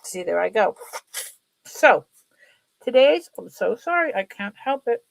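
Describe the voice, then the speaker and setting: A woman's voice: medium pitch, big pitch swings, a little slow, gravelly, dry recording, rough recording. A middle-aged woman with a sniffly, congested nose, chatting casually and ramblingly as she hosts a live sale. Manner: rambling, chatty, apologetic asides. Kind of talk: casual livestream chat.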